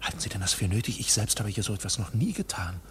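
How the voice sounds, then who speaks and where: An actor in a German radio play playing an anxious, polite but insistent accused man, questioning and moving between hushed and outraged. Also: lowish voice, sing-song, fast, slightly rough, exaggerated voice. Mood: exasperated.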